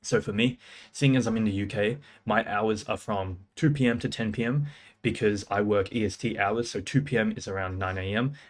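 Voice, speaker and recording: Punchy, confident young man, lowish voice. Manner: fast, punchy, confident delivery with slang. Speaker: music producer and sales coach. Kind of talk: casual talking-head advice.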